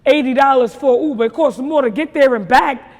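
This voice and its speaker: A young woman from New York with a naturally raspy voice, telling her story in a casual interview with emphatic, slangy talk. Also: high voice, fairly quick, big-room sound. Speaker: rapper guest.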